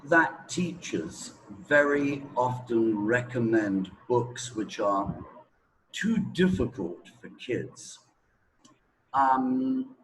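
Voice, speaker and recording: A man's voice: mid-range voice, a little hiss or hum, poor audio. A man giving explanatory interview answers as a teacher, emphatic and repeating words for stress.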